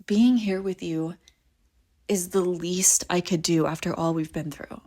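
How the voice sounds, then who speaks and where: A woman's voice: fairly low pitch, steady tone, unhurried. A woman playing a clingy, devoted young female friend in a zombie apocalypse audio roleplay, with an emotional, intimate delivery. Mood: desperate pleading. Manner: emotional, pleading, swinging between insistent and hushed. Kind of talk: scripted audio roleplay acting, intimate and conversational.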